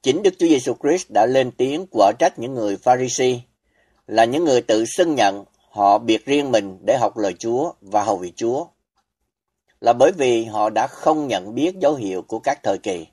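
A man preaching a scripted sermon as a voice-over, reading in a solemn, measured way with emphatic pauses. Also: mid-range voice, lively intonation, warm tone, breathy edge.

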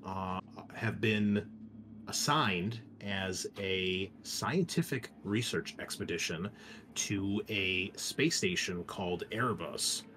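Low-voiced man game master, noisy recording. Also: slow, sharp tone. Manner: casual, sweary, conversational asides. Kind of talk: recapping a tabletop game session, semi-scripted narration. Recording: clear background noise, room ambience.

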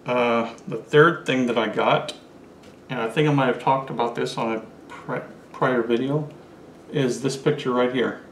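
A man's voice: medium pitch, steady tone, measured pace. A man in his forties chatting casually to camera as he holds up his collectibles one by one, recorded at a desk in a small room. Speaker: collector vlogger. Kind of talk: casual show-and-tell vlog. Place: small indoor room with curtains. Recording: roomy echo, faint room hiss.

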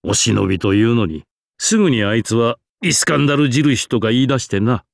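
A man's voice: mid-range voice, lively intonation, brisk, vocal fry, no echo, clean studio sound. A man voicing a proud, genial old king in a game, with a stately, archaic way of speaking. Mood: fond, amused. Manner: stately, archaic, self-satisfied. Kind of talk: Japanese game character voice acting.

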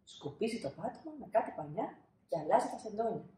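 A woman in her thirties narrating a children's storybook expressively, with dramatic pauses and emphasis. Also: medium pitch, sing-song, dark tone, slight background noise. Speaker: storybook reader. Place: small indoor room, seated. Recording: roomy indoor echo.

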